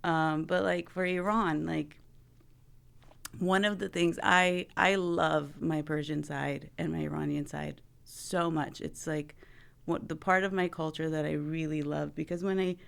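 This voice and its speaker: A woman's voice: sits low, steady tone, measured pace, creaky, clean tone. A woman hosting a casual podcast talk as a marriage and family therapist, chatty, saying "like" a lot and laughing often.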